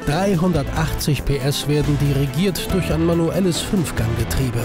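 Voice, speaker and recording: Male voice-over, slightly high, dramatic and drawn out, under loud music. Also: moderate pace. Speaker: narrator. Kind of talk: scripted voice-over narration.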